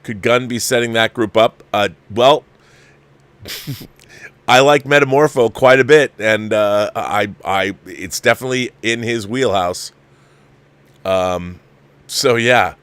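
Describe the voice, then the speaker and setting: A man's voice: mid-range voice, slow pace, long pauses, a bit bright, clear, resonant tone, dry recording. A man hosting a livestream, giving casual film commentary and answering viewers, repeating phrases for emphasis and often restarting his sentences. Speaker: livestream host reviewing a film.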